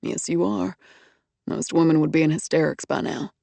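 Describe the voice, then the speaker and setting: A woman reading an audiobook, voicing a tall, calm man who rescues and soothes with wry tenderness. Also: sits low, normal speed, creaky, no room sound, voice pushed down, exaggerated voice. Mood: soothing.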